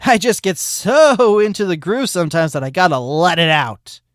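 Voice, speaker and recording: A woman's voice: lowish voice, normal speed, dry recording, studio quality, natural voice. A woman voicing a smug, hyperactive male sloth witness with a cocky drawl.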